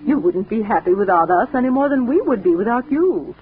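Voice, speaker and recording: A woman's voice: fairly high pitch, very animated, a little fast, breathy edge, exaggerated voice. An actress playing a devoted, resolute, motherly older sister in her thirties, in 1940s radio drama style on a tinny, noisy old recording. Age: 30s.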